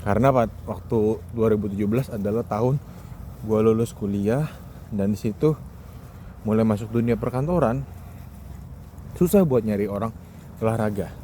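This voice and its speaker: A man's voice: fairly low pitch, normal speed, pauses often, dark tone. A man around thirty, rambling casually in a conversational solo monologue full of rhetorical questions. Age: 20s or 30s. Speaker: solo podcast host. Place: outdoors, walking along a street. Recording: outdoor urban noise, passing vehicles. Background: clear traffic and ambient noise.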